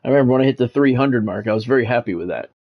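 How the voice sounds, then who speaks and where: A man's voice: medium pitch, racing, lo-fi sound. Middle-aged man, play-by-play announcer delivery, live game stream, chat banter, repeated phrases for emphasis.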